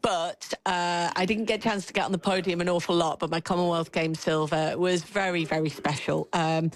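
A middle-aged woman with an English accent, a former athlete talking as a sports pundit in a chatty, halting way that repeats words. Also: on the low side, fairly even intonation, dry recording.

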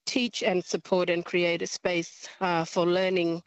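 Halting, low-voiced woman. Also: little pitch movement, dry recording, muffled recording. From northern Australia. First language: a local clan language. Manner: halting, many ums, thoughtful. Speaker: guest, language teacher. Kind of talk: radio interview.

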